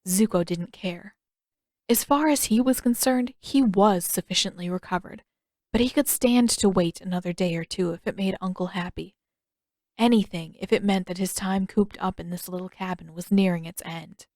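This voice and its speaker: A woman's voice: medium pitch, normal speed, a little mellow, dry recording. Woman narrating fiction, very dry sound. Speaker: audiobook narrator.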